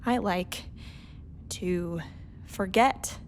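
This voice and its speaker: An actress playing a wry, world-weary space pilot in a radio play, speaking in a measured, confessional monologue. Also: female voice, mid-range voice, a little hiss or hum. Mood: wistful, reflective.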